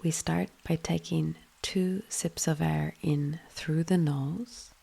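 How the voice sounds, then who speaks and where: A woman leading a guided yoga nidra meditation in a soothing, hushed manner, with phrases often trailing into breath. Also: sits low, fairly even intonation, takes it slowly, gravelly, slightly rough.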